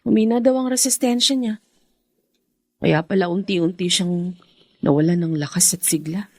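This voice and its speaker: A woman's voice: fairly low pitch, normal speed, dark tone, no room sound. An actress playing an earnest young married daughter devoted to her family, in a heartfelt Filipino radio drama with a Filipino accent. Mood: concerned.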